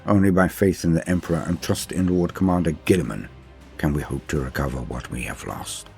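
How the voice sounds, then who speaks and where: Deep, smooth-edged dark male dramatic narrator. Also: faint music behind. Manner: solemn, measured, formal storytelling. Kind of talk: dramatic reading of science-fiction war lore.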